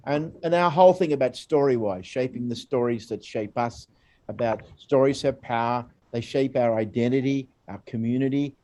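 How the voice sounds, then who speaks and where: An Australian man directing a program, giving an informal recorded presentation in a chatty, rambling way as he draws out his colleague. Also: medium pitch. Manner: chatty, rambling, warm encouragement of his guest.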